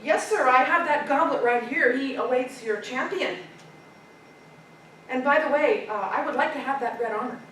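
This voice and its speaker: A woman's voice: sits high, normal speed, a bit bright, a little hiss or hum. Woman telling as a naive young would-be knight. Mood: eager. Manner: earnest and clueless. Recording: echoey room, far from mic.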